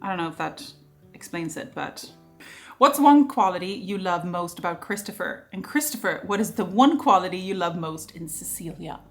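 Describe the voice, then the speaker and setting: A woman in her thirties with a Swedish accent, hosting a casual question-and-answer chat in a lively, talkative way and laughing often. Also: fairly low pitch, normal speed, soft background music. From Sweden. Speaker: vlogger host.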